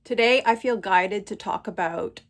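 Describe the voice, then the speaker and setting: A woman in her forties or fifties hosting her own channel, talking slowly and thoughtfully at home while stuffy from a cold. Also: medium pitch. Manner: gentle, unhurried, thoughtful pauses. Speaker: channel host. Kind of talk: slow reflective spiritual monologue.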